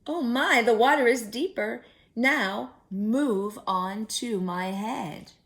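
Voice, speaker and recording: A woman's voice: a little high, slow pace, fairly bright tone. A woman voicing a sly, hungry male fox in a children's storybook, wheedling and falsely kind. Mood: sly coaxing.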